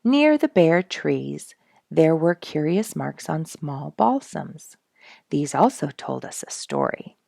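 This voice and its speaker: A woman reading a nature storybook aloud in an expressive, measured, dramatic storytelling manner. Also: low voice, vocal fry, no echo, clean studio sound. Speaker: audiobook reader and show host.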